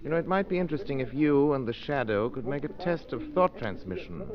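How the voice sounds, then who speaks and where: Male actor as urbane gentleman criminologist, polished, measured, medium pitch, muffled 1930s radio drama. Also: lively intonation, lightly acted. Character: suave, thoughtful gentleman amateur criminologist. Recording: muffled 1930s radio recording, crowd hubbub.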